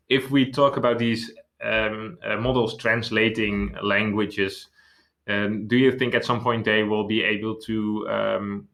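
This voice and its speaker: Bright low-voiced man, hesitant questions. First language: not English. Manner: hesitant, searching for words. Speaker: interviewer. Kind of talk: interview questions.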